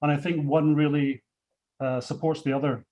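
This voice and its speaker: A Scottish man speaking on a video call, his voice set a little high for a man. He presents awards in a measured, halting way, padding his sentences with ums and uhs and often restarting them. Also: level tone, a little mellow. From Scotland. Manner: hesitant, many fillers and restarts. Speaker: CEO presenting industry awards. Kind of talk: webinar remarks, unscripted.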